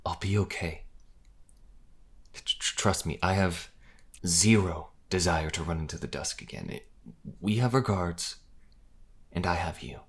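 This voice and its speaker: A man playing a young priest in a fantasy audio drama, mild and affectionate, with a halting, soft-spoken delivery. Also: deep voice, husky, heavily acted. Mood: reassuring.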